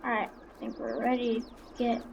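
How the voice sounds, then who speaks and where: A woman doing casual, halting gameplay roleplay commentary for videos, in an informal, unscripted way. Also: fairly high pitch, fairly even intonation. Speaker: gaming YouTuber. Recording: dull lo-fi mic, faint background noise. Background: vehicle engine sounds from the game.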